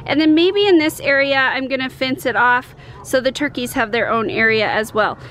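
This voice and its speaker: A woman's voice: sits high, expressive, normal speed, a bit bright, slight background noise. A middle-aged American woman from Colorado chatting casually and enthusiastically to camera as a homesteading vlogger. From Colorado, United States. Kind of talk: casual vlog chat to camera. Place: outdoors in a yard, later in a parked or moving car. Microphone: camera at arm's length.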